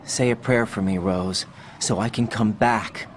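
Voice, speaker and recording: A man's voice: fairly low pitch, steady tone, measured pace, a little hiss or hum, natural voice. An actor playing a young special-forces agent in a video game, earnest and reluctant, questioning what he hears. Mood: subdued. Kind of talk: video game cutscene dialogue.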